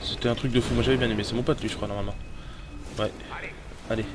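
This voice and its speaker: Excitable man with a cold, gaming. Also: mid-range voice, fast, very noisy. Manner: casual, swearing, excitable. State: has a cold. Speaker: gamer. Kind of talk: let's play commentary. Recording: voice over loud game sound.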